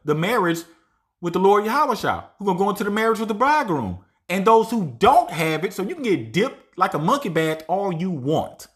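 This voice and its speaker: High-voiced man preaching emphatically. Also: fairly bright tone. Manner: emphatic, tags lines with "right?"; reads verses aloud slowly. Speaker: Bible teacher. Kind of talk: informal scripture teaching.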